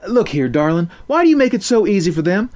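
A man's voice: high voice, big pitch swings, quick pace, darkish tone, pitched above the performer's own voice. A man voicing a kindly school lunch lady who speaks in a soothing, motherly way. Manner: soothing, calls people darling and honey.

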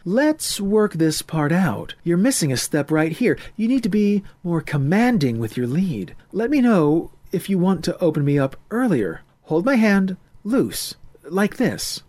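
A man voicing a young woman swing dancer who gives brisk, commanding orders. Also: sits high, sing-song, a little mellow, pitched above the performer's own voice, exaggerated voice. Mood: bossy. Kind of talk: audiobook character voice.

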